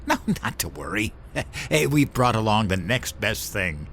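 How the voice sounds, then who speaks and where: An actor playing a dim, eager, boyish new president in a comedic audio drama. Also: male voice, lowish voice, sing-song, a little slow, a bit bright, slight background noise, full character voice. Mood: easygoing. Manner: naive, boyish, easily delighted. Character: childlike, dim, eager newly elected male US president.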